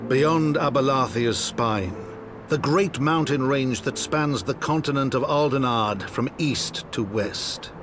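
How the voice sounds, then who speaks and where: An actor playing a solemn chronicler narrating an epic fantasy video game journey in literary phrases. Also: male voice, mid-range voice, slow pace, over music, close to an everyday voice.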